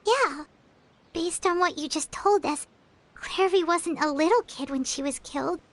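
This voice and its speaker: Tiny excitable fairy sidekick for a game: extremely high, squeaky, childlike, earnest. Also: female voice, unhurried. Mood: curious. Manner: earnest, refers to self in third person. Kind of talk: video game voice acting.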